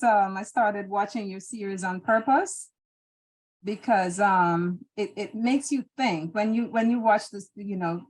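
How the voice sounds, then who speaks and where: A woman's voice: medium pitch, rough recording. Jamaican woman answering thoughtfully. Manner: thoughtful, hesitant, many restarts and fillers. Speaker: interview guest. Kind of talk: casual interview answers.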